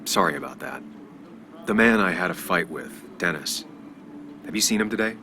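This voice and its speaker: A man playing a weary, dry-witted journalist in a video game, speaking in a low-key, deadpan way. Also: normal speed, background noise. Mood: dry, subdued. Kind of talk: naturalistic video game dialogue.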